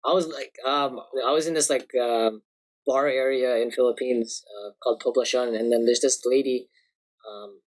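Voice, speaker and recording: A man's voice: mid-range voice, fairly even intonation, moderate pace, rough recording. Young Canadian male, Canadian accent, easygoing slang-heavy podcast guest. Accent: Canadian English. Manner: laid-back, slangy, swears a lot. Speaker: guest, personal trainer. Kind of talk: casual chat.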